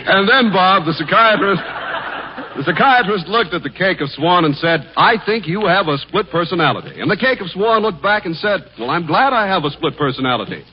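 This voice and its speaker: A man's voice: high voice, expressive, a little fast. A man with an American accent working as announcer and sidekick, in scripted vintage radio comedy and advertising. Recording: dull, slightly noisy 1940s radio recording.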